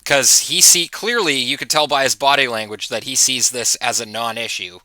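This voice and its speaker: A man's voice: medium pitch, normal speed, bright, forceful tone, dry recording. A man hosting a political commentary show, talking to viewers in an informal, mocking way with chuckling asides. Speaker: host of political commentary channel. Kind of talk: casual opinion commentary to camera.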